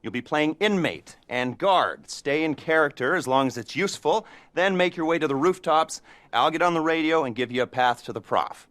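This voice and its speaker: A man's voice: fairly high pitch, animated, moderate pace, a bit bright. An actor playing a middle-aged criminal mastermind who briefs his crew with awkward, self-mocking humor and nervous laughter, in a video game cutscene. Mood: wry. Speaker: heist planner briefing a crew.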